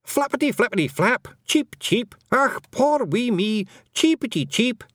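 Tiny, squeaky Scottish fairy brawler for an audiobook: very high, loud and fierce. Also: male voice, animated, a little slow, no echo, studio quality, exaggerated voice. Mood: mock-bird chirping. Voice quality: high shrill shouting put-on voice. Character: squeaky tiny male Scottish fairy warrior, wild and brash. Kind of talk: audiobook character reading.

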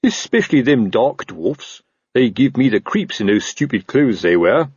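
A man puts on a self-important male police sergeant from a storybook. He grumbles bluntly in colloquial phrases, none too bright. The delivery is husky and animated, captured very dry. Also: medium pitch, moderate pace, no echo, muffled recording. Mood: grumbling, affronted. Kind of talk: audiobook character voice.

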